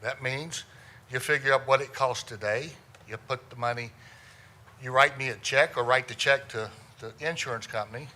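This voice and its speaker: A middle-aged man with a loud, resonant voice, giving a humorous talk as a funeral director. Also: mid-range voice, moderate pace. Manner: loud, folksy storyteller with self-deprecating jokes. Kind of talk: humorous presentation to a lawyers' audience.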